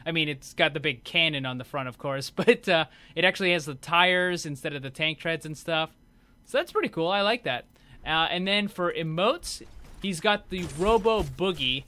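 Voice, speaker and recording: Bright, higher-voiced man presenting game cosmetics. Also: expressive, normal speed. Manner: informal, conversational, explanatory. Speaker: gaming video host. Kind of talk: casual video game showcase commentary.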